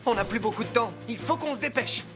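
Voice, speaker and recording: A woman voicing a calm, responsible rescue car leader in a dubbed children's cartoon, explaining things reassuringly. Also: on the high side, fast talker, close to an everyday voice. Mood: eager. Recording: music underneath.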